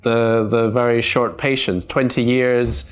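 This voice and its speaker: Mid-pitched man interviewing. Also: little pitch movement, measured pace, clean tone. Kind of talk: considered interview questioning.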